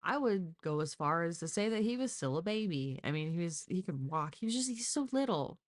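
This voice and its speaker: A woman's voice: on the low side, expressive, fairly quick. A woman talking casually and informally, as on a live true crime stream, with exasperated asides. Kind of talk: casual live case discussion.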